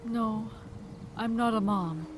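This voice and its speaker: A woman's voice: a little high, slow, music in the background. A woman playing a wry, motherly survivor in an audio drama, talking in a casual, resigned way. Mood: wistful, resigned.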